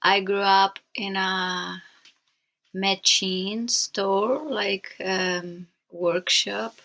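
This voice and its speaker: A woman in her thirties from Chile speaks English slowly, in a Chilean Spanish accent. Her voice is bright, and her phrasing is simple and halting, as from a native Spanish speaker. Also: mid-range voice, level tone. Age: 30s. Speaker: guest, motorcyclist. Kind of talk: interview.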